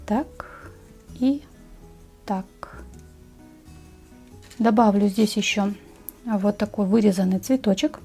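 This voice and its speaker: A woman narrating a step-by-step craft tutorial in a measured, explanatory way. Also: medium pitch, gravelly, mellow, slightly rough, soft background music.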